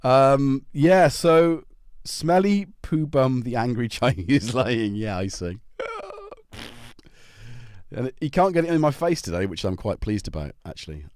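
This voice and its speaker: Chatty North Welsh man, lively voice. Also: medium pitch, measured pace, no room sound, clean studio sound. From North Wales. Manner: chatty and jokey, greets viewers by name. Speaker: livestream host. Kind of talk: casual livestream chat. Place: home.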